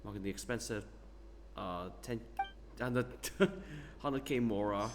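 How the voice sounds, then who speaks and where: A man giving casual, rambling commentary while playing a game, laughing and clearing his throat often. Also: fairly low pitch, unhurried, slight background noise. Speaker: gaming streamer. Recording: game music behind.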